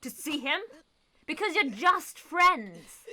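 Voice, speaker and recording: Slow, menacing, possessive female lover. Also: sits high, sing-song, pushed and bright, heavily acted. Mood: jealous, accusing. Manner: condescending, deliberate, theatrical. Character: possessive, menacing, jealous woman tormenting her lover. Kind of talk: horror radio drama acting.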